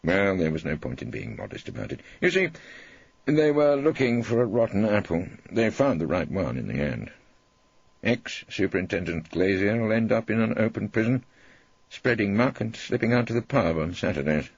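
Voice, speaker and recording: A man's voice: lowish voice, big pitch swings, moderate pace, gravelly, husky, no echo, muffled recording. An English man narrating a scripted first-person story for an audiobook in a wry, theatrical manner.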